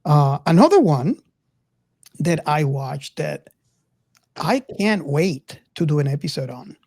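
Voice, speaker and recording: A man's voice: on the high side, very animated, slow pace, gravelly, darkish tone, no room sound. Man chatting casually, dry close sound. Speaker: podcast host. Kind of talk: casual podcast discussion.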